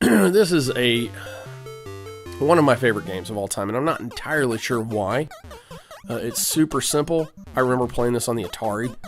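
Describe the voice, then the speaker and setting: A man with a cold giving rambling, casual commentary while playing an old video game, often clearing his throat and using fillers. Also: mid-range voice, animated, moderate pace. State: has a cold. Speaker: let's play host. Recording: game music behind the voice.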